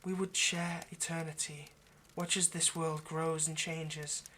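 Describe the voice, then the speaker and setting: A man's voice: on the high side, flat delivery, a little slow. A man playing an earnest, lovestruck vampire in an intimate roleplay monologue, speaking hesitantly and persuasively. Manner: hesitant, stammering, gently persuasive. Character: earnest, lovestruck male vampire coaxing a partner.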